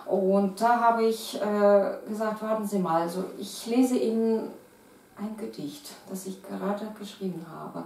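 Middle-aged poet, Russian accent, hesitant delivery, roomy sound from afar. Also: female voice, mid-range voice, unhurried, creaky, a little mellow. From Kazakhstan. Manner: hesitant, many fillers and restarts. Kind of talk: interview answers. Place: living room with piano, indoors. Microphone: far camera. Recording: echoey room from a distance.

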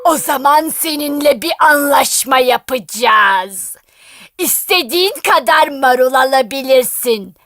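Brief: a menacing cartoon witch, high and bright, very slow threats, dry studio with music. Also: lively intonation, dry recording, exaggerated voice. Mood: stern threatening. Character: harsh menacing old female witch. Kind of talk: cartoon voice acting.

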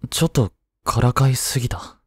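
A young man playing a teasing but tender schoolboy boyfriend in a voice drama, speaking casually and softly with hesitant pauses. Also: mid-range voice, husky, no echo, studio quality, lightly acted. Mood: apologetic. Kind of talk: Japanese boyfriend voice drama, intimate one-sided dialogue.

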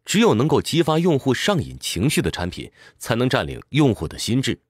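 A man's voice: medium pitch, huge pitch swings, a little fast, breathy edge, dry recording, clean studio sound. A man presenting a scripted book summary in a conversational explainer's manner, posing rhetorical questions as he goes.

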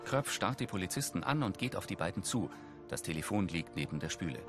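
A man's voice: low voice, very animated, quick pace, over music. A man reading scripted audio description narration for a TV film.

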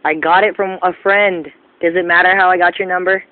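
Man, high voice, prank caller, insistent and teasing, muffled phone-quality sound. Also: level tone, fairly quick. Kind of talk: casual prank phone call. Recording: muffled narrowband phone call.

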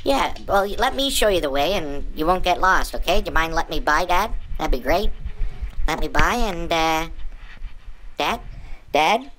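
A woman's voice: on the low side, lively intonation, moderate pace, fairly bright tone, slight background noise, low-quality recording. A woman voicing a cheeky, eager pet pug who rambles as it gives a tour to its owners, in an improvised pet voice-over. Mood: mildly exasperated. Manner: rambling, eager, addresses owners as Mom and Dad.

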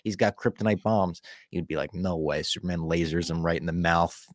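A man's voice: sits low, fairly quick. A man in his forties, co-hosting a podcast in casual, swearing chat over a video call from a room at home. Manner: relaxed, swearing, storytelling. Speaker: podcast host. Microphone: headphones, video call.

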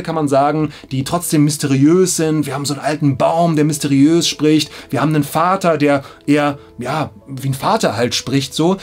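A man's voice: a little high, darkish tone, slightly rough. A man in his thirties explaining things casually and enthusiastically to camera in a small studio, in colloquial language. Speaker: audiobook production presenter. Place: small indoor audio studio.

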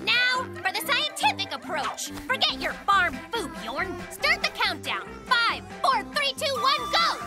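Cartoon cub inventor, bragging and bouncy, high and animated. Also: male voice, unhurried, hardly any pauses, over loud music, full character voice. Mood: boastful. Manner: boastful, bouncy, excitable. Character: excitable boastful young brown bear inventor. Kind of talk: cartoon voice acting.

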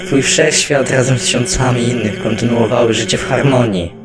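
A man voicing an excitable, friendly skeleton artist who tells a long tale expressively in an animated fan dub. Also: medium pitch, moderate pace, lightly acted. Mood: earnest storytelling. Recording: background music under the voice.

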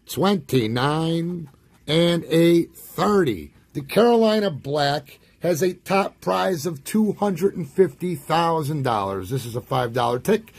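This is a man with a scratchy, gravelly voice that sits high in his range. He talks unhurriedly, reading out numbers with odd little nicknames and tossing in profanity. The sound is close and dry. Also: dry recording. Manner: profane, wry, reads numbers slowly with nicknames. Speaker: lottery scratch-off vlogger. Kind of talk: casual profane commentary while scratching tickets. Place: indoors at a table.

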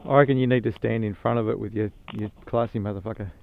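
A man's voice: lowish voice, steady tone, fast, vocal fry. A man riding a motorbike and joking as he chats on a helmet intercom. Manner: joking, chuckles often. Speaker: motovlogger host. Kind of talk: casual helmet-cam commentary. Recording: muffled, loud wind noise.

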